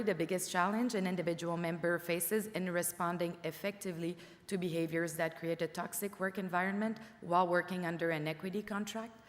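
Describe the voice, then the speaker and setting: A woman presents research in English, her first language being French, pausing often on "uh" as she works through figures. Her voice sits fairly low. The sound carries the long reverb of a conference hall. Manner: frequent "uh" fillers, careful reading of results. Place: conference room. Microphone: room microphone.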